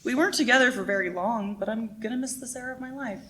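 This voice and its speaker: A woman playing an excitable, sentimental young co-worker in a live radio play. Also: a little high, fast, poor audio. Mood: eager, sentimental.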